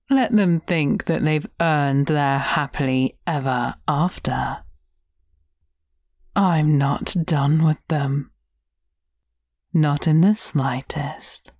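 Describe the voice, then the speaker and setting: A woman's voice: sits low, darkish tone, no room sound, clean studio sound, exaggerated voice. A woman playing a scheming, coolly menacing sorceress in a fairy-tale audio drama, with a drawling, imperious delivery.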